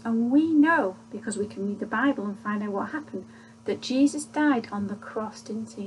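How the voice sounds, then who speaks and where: A woman gives a gentle children's church talk, asking leading questions as she tells the story, recorded on a webcam in a small room at home. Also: on the high side, slight background noise, low-quality recording. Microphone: webcam at desk distance.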